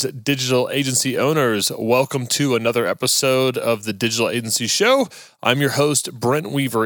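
A man's voice: mid-range voice, a bit bright, dry recording, studio quality. A man hosting a podcast, chatting with guests in an upbeat, friendly, laid-back way. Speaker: podcast host. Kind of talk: casual interview chat.

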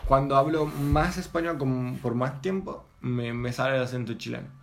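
A young Italian man with an Italian accent, chatting casually in a second language, pausing and searching for words as he tells his story. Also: mid-range voice, creaky. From Italy. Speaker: guest. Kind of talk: casual chat.

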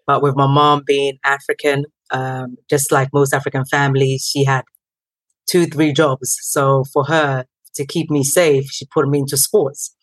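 A woman in her thirties, originally from Ghana and not a native English speaker, a guest telling her story in a lively way with many ums. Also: rumbling low, a little slow, dry recording, low-quality recording. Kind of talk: conversational interview.

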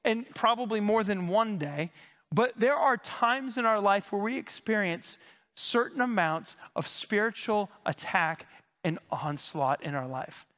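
A man's voice: high voice, lively intonation, unhurried, pushed and bright. A male pastor preaching a teaching sermon in a church, explaining Greek words in a conversational way while he keeps coughing. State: coughing, recovering from lost voice. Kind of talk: expository sermon. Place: church sanctuary. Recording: long hall reverb.

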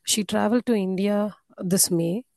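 A woman calls in with a question, her low voice gravelly and mellow. She speaks hesitantly and fills her pauses with many uhs. The sound is dry and close. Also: no echo. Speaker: caller, a parent. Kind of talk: phone call question. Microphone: conference call line.

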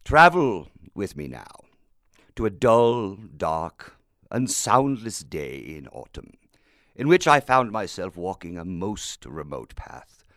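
An actor playing a morose, pompous gothic horror writer who narrates a radio play in a grandiloquent, droll manner. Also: male voice, low-pitched, fairly bright tone, full character voice.

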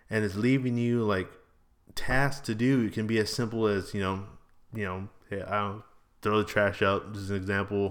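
A man in his early thirties hosting a casual, rambling solo podcast in a slangy, informal, exclamatory way. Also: fairly low pitch. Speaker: podcast host and vlogger.

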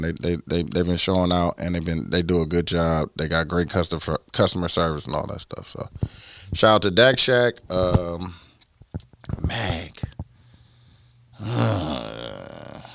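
A man co-hosting a casual podcast chat, laid-back and slangy, drifting into drawn-out pauses. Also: deep voice, gravelly, a bit bright. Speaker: podcast co-host.

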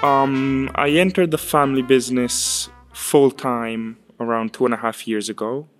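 A man in his twenties, raised in Chile, answering interview questions in a halting way, often repeating words. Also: mid-range voice, steady tone, a bit bright, faint music behind. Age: 20s. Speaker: guest, winemaker's son. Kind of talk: casual interview.

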